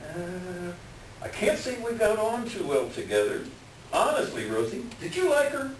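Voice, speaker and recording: A man playing a hapless middle-aged swindler doctor in a staged reading, pleading and self-deprecating, with a halting, wheedling delivery. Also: high-pitched, big pitch swings. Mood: resigned scheming. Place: echoey room, far from the mic. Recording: distant echoey room with hiss.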